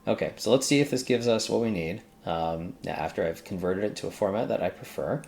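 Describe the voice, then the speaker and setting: Low gravelly voice, chatty coding tutorial host thinking out loud, bit of noise n typing behind. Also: brisk. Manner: informal, exclamatory asides to self and software. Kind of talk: casual screen-recorded coding tutorial, thinking aloud. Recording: faint background noise, keyboard typing.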